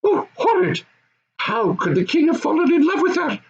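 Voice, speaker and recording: A man voicing an excitable, easily horrified young goblin son in a squeaky high voice for a storybook. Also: moderate pace, lo-fi sound, full character voice. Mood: horrified, curious. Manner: excitable, exclaiming.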